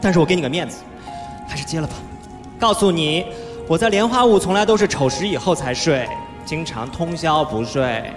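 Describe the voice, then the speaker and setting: A man's voice: fairly high pitch, animated, natural voice. Male actor as chatty young swordsman with a fever, teasing and whiny, lightish voice, live audio drama with music behind and hall reverb. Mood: teasing, pleading. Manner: whiny, drawn-out, coaxing. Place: large theatre stage, indoors. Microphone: handheld microphone.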